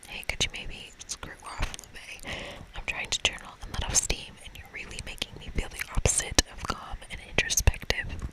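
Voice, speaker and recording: A woman's voice: normal speed, lightly acted, low-quality recording. Woman whispering as a snappy, murderous young monster girl. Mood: irritated. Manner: snappy, melodramatic. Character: irritable, scheming young female monster with murder plots. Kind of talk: whispered video game dialogue read aloud.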